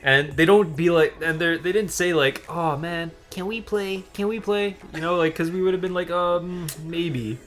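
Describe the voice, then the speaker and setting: A man's voice: sits high, moderate pace, few pauses, fairly bright tone. A young man casually telling a rambling, amused story in a chatty style with some swearing. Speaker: gaming channel co-host. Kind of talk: casual storytelling chat over gameplay. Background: background music.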